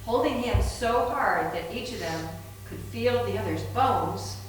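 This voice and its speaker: A woman's voice: mid-range voice, animated, a little slow, a crowd in the background. A woman giving a dramatic scripted narration of a horror story. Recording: very echoey room, distant, faint noise.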